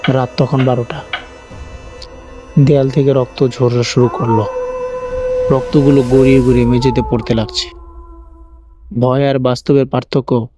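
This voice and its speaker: A man's voice: medium pitch, little pitch movement, normal speed, long pauses. A man narrating a scripted first-person horror story as the channel's host. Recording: faint music bed under narration. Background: faint music.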